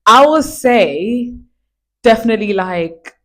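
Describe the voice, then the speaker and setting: A woman in her twenties from Israel, a podcast guest chatting casually with a friend, animated and quick to laugh. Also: a little high. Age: 20s. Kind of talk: casual chat.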